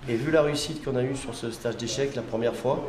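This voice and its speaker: A man in an interview talks through a project in a reflective way, pausing with hesitations. His mid-range voice sits in a room with a clear echo. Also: medium pitch, a little mellow. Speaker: educator.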